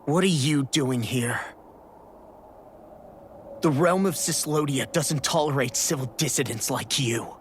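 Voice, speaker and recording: An actor playing an angry, scornful young soldier in a dramatic video game scene. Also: male voice, medium pitch, a little slow, pauses often, a little hiss or hum, close to an everyday voice. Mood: aggressive, commanding.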